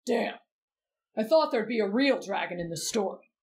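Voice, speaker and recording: A woman's voice: mid-range voice, animated, moderate pace, darkish tone, lightly acted. A woman voicing a brash, blunt, mischievous teenage boy in an anime fan roleplay. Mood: blunt, puzzled. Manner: blunt, cocky, teasing.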